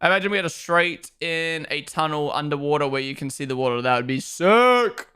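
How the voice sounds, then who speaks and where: A young man with a hybrid Kiwi-Australian accent, chatting through a live racing watchalong. His voice is bright and clear, fairly high for a man, and he talks loosely with quick asides and sudden loud reactions. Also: animated. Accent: mixed New Zealand and Australian. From New Zealand. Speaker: streamer hosting a watchalong. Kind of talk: casual live commentary, reading chat.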